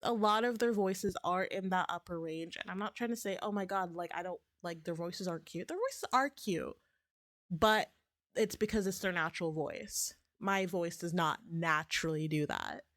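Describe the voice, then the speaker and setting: A woman streamer in casual, rambling chat, her voice a bit raspy as if she is getting sick, with lots of fillers and restarts. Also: fairly low pitch, normal speed, fairly bright tone, dry recording, clean studio sound. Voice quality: slightly raspy.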